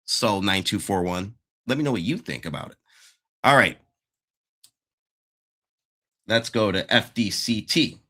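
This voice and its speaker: A man's voice: on the low side, expressive, normal speed, bright, forceful tone. A man hosting a casual stock analysis livestream, chatty and self-interrupting, saying he is losing his voice. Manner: chatty, self-interrupting, checks the live chat. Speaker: stock market show host.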